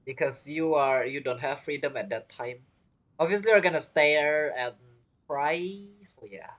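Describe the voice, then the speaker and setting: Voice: mid-range voice, unhurried, a bit bright. A game streamer giving casual gameplay commentary, reacting and thinking aloud. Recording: faint game music behind.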